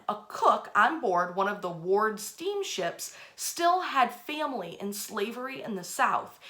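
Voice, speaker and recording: A woman's voice: on the high side, pushed and bright. A woman hosting a history storytelling session, speaking conversationally and repeating phrases for emphasis. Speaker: host, storyteller.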